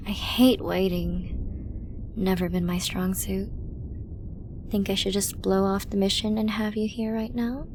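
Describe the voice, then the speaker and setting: A woman playing a flirty, dominant villain in an ASMR roleplay, teasing and possessive, often slipping into an intimate whisper. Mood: impatient, lustful. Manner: slow, teasing, breathy lapses into whispering. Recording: noisy with low rumble.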